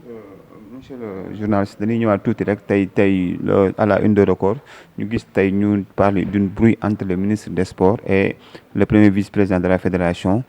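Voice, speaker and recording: A man interviews a football analyst. His voice sits somewhat low. He poses his questions in a loose, rambling way. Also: little pitch movement, normal speed, warm tone. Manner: loose questions mixing French and Wolof. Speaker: journalist.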